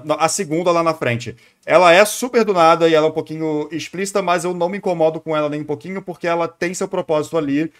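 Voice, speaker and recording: Casting: Brazilian male streamer, very quick speech, slightly high voice, informal and rambling reaction chat. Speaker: live streamer reacting to a video. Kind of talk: casual livestream chat and commentary.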